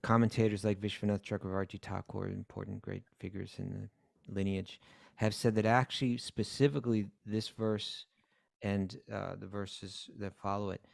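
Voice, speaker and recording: A man with a low, gravelly voice explains scripture in a measured way, pausing on fillers as he goes. The sound is dry and close to the mic. He talks casually with his co-host. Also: a little mellow, no room sound. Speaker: podcast co-host.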